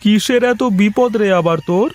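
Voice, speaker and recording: Male actor as young village vendor, high-pitched, eager, obliging, cartoon dub. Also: normal speed, a little mellow, close to an everyday voice. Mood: curious. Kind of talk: Bengali moral cartoon dubbing.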